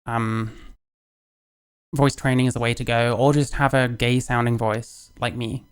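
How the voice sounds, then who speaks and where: A man's voice: mid-range voice, little pitch movement, measured pace, clear, resonant tone. A man speaking casually and candidly, rambling and self-deprecating, laughing and swearing as he reacts to a clip. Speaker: youtuber reacting to another creator's video.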